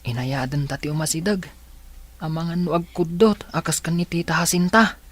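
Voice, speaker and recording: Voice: medium pitch, normal speed, close to an everyday voice. A performer playing a caring, protective older village child in a radio drama, earnest and kindly. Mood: wary. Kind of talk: Ilocano radio drama acting.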